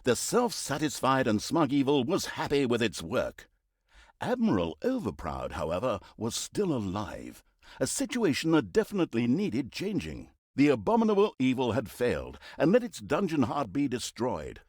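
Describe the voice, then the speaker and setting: Wildly expressive, sardonic game narrator. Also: male voice, mid-range voice, moderate pace, no echo, clean studio sound. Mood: mock-grand storytelling. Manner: theatrical, sardonic, mock-pompous. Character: mocking, theatrical male narrator of villainous deeds. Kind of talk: comic scripted narration.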